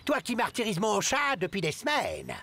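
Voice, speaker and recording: A man's voice: high-pitched, wildly swooping pitch, moderate pace, sharp tone, heavily acted. Wildly swooping stern schoolman. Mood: indignant, scolding. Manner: scolding, pompous. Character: stern, indignant adult man, cat-owning school authority. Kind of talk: French cartoon dubbing.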